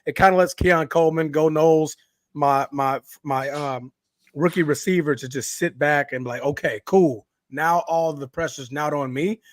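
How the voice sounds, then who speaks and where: Hoarse man talking football picks. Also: a little high, moderate pace, dry recording. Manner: relaxed, rambling with many fillers. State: raspy, hoarse voice. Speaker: podcast co-host. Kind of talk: casual sports chat.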